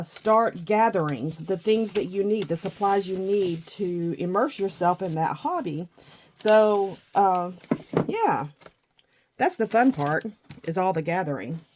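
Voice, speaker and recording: A middle-aged woman with a husky morning voice, chatting casually and self-deprecatingly about her crafting supplies. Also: medium pitch, a little mellow. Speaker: scrapbooking vlogger.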